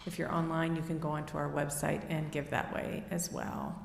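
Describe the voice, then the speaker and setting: A woman reading offertory announcements at a worship service in a steady, read-aloud way. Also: low voice, normal speed, gravelly, slight background noise. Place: church sanctuary. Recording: long hall reverb.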